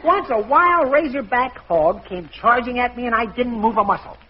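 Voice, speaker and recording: A man's voice: extremely high pitch, lively intonation, normal speed. An actor playing a goofy, bumbling middle-aged father and clerk in a 1940s radio comedy, stammering and wisecracking. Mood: cheeky, flustered. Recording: dull old radio recording, studio audience, hiss.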